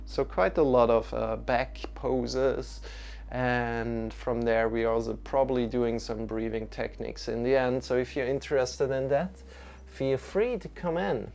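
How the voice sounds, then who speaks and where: A man's voice: mid-range voice, steady tone, unhurried, vocal fry, a little mellow. A young man with a Swiss accent, hosting a live-streamed yoga class and greeting viewers in a loose, friendly way with frequent restarts. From Switzerland.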